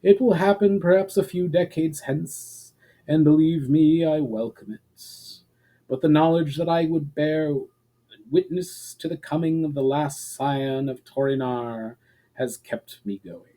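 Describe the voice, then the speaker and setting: A man voicing an ancient male elf border guard in a storybook reading, courteous, wistful and weary, with formal, measured speech. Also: fairly high pitch, mellow, voice pushed up, natural voice. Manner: formal, measured, archaic phrasing.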